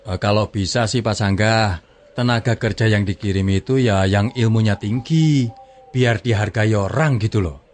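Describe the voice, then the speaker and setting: A man acts out a good-natured village fellow in an educational radio drama. His voice sits a little low, and he is colloquial, curious and teasing. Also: normal speed, a little mellow, a little hiss or hum, natural voice. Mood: earnest. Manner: colloquial, interjecting, chuckling.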